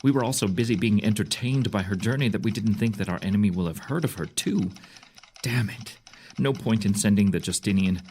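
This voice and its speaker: Gruff, low-voiced commander played by a man. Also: brisk, a little mellow, a little hiss or hum, natural voice. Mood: angry, grim. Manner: gruff, decisive. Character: gruff, self-reproaching senior military commander. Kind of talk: full-cast audio drama acting.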